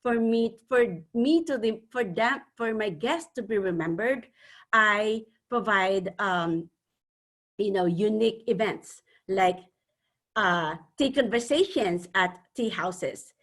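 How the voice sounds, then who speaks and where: A woman from the Philippines with a Filipino accent, speaking earnestly as a webinar panel speaker and often pausing on fillers. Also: medium pitch, a bit bright. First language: a Philippine language. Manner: earnest, hesitant with many fillers. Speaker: panel speaker, networking organizer.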